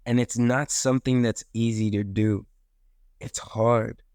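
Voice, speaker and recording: A man from South Africa, an educator and podcast co-host, speaking in an earnest, measured way. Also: medium pitch, fairly even intonation, a little mellow, smooth, dry recording, clean studio sound. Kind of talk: reflective podcast monologue.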